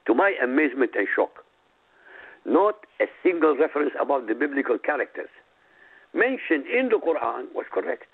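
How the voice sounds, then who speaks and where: Gravelly husky guy from iraq, arabic his first language, calling in over a tinny phone line, hammering each point. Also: a little high, very animated. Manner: emphatic, declamatory, lists items slowly. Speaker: radio show guest. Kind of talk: reading a prepared statement on talk radio.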